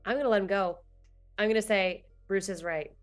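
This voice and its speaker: A woman's voice: medium pitch, fairly quick, soft background music. A woman playing a tabletop roleplaying game, casually stating her actions and speaking in character.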